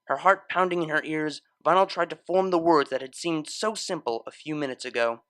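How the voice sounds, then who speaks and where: A man's voice: fairly high pitch, fairly quick, fairly bright tone, no room sound. A man narrating a scripted story in a dramatic, literary style. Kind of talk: expressive scripted fan fiction narration.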